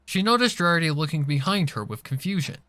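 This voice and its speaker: A man narrates written fiction in a somewhat high voice. He reads scripted prose as a storyteller, moving through descriptions of scenes and characters. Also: sing-song, quick pace, pushed and bright, dry recording. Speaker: fan fiction reader.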